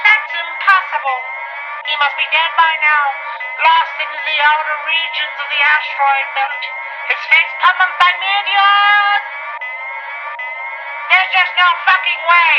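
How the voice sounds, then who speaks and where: A woman playing a bossy, sceptical, sharp-tongued spaceship crewmate in a sci-fi radio play. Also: extremely high pitch, steady tone, unhurried. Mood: sceptical, commanding. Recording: dull lo-fi sound under clear music.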